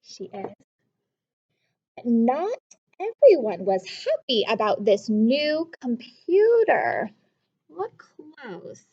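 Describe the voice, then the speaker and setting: Slow, high-voiced woman teaching young children. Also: slightly rough, highs cut off. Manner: expressive, instructive, addressing young listeners directly. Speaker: elementary school teacher. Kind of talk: children's story read-aloud with teaching questions.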